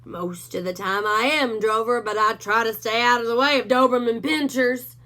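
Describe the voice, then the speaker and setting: A woman's voice: fairly high pitch, fairly even intonation, moderate pace, pushed and bright. A woman voicing a self-important male cowdog who talks in a dry, wry, drawling way.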